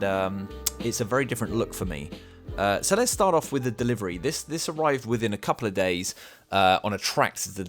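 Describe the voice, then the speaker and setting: A man with a cold, his voice a bit thicker than usual, hosting an easygoing product review at home and explaining the features step by step. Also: fairly low pitch. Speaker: channel host reviewing a product. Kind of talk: casual product review to camera. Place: home dining room.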